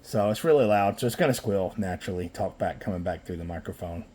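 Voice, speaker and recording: A man's voice: sits low, fast talker, a little mellow. A man giving a casual, folksy product demo of radio gear, muttering informal asides as he tests it. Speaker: radio seller demonstrating equipment. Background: CB radio noise.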